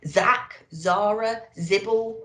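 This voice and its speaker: A woman reading a children's storybook aloud in an expressive, theatrical way, addressing young listeners. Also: mid-range voice, extremely slow. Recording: echoey, roomy sound.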